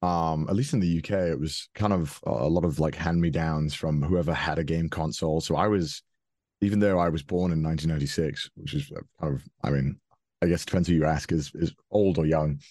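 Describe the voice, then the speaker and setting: Deep-voiced young man chatting. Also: fairly even intonation, brisk, a little mellow, dry recording, clean studio sound. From Wales. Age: 20s. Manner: hesitant, many restarts and fillers, laughs often. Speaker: guest. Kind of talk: casual interview chat.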